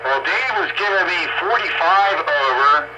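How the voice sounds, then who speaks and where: Guy hosting a ham radio chat, kinda high voice, informal and chatty, lots of static. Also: animated, normal speed. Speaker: amateur radio operator hosting an on-air roundup. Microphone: radio transceiver microphone.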